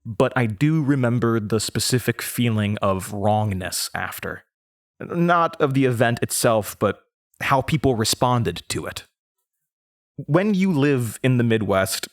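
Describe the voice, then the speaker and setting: A man's voice: medium pitch, no room sound, studio quality, natural voice. Man acting a hesitant young Midwestern small-town guy. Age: young adult. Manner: hesitant, stammering, rambling. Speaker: audio drama lead character. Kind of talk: audio drama monologue, conversational testimony.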